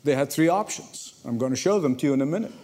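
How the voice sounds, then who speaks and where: A man from Egypt, preaching a sermon to a congregation in lively storytelling, often repeating words as he starts a sentence. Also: a little high, fast. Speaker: pastor. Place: church before a congregation.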